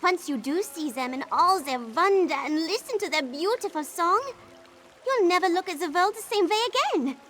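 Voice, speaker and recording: A woman voicing an eccentric, excitable potion brewer in a video game, theatrical and effusive. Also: very high voice, moderate pace, slight background noise. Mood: dreamy wonder. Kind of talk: video game character dialogue.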